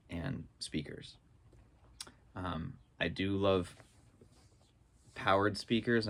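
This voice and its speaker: A man's voice: low voice, gravelly. A man talking casually to viewers, explaining things informally as a vlogger and thinking aloud in loose phrases. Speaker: vlogger on live sound gear.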